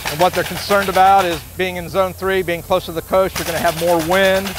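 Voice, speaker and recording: A man's voice: sits high, little pitch movement, brisk, background noise. A man in his fifties giving an informal, plain-spoken walkthrough as a sales director on a factory floor. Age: 50s. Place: large indoor factory floor.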